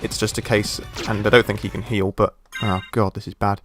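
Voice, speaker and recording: Guy, sore throat, croaky and lowish, relaxed let's-play talk. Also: brisk, over music. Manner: relaxed, thinking aloud while playing. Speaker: gameplay commentator. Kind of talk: casual let's play commentary.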